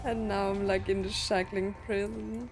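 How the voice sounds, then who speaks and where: A woman's voice: mid-range voice, level tone, normal speed. A woman chatting informally and reacting to herself as she plays a video game, sometimes swearing. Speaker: gaming video host. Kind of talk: casual reactions while playing a game. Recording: game music behind the voice. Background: video game soundtrack and character voices.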